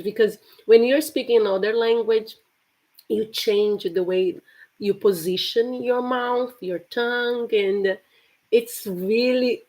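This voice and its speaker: Slow, chatty woman with a Portuguese accent. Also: mid-range voice, low-quality recording. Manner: chatty, laughs often, repeats words slowly to show pronunciation. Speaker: English teacher. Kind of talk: live-streamed language lesson.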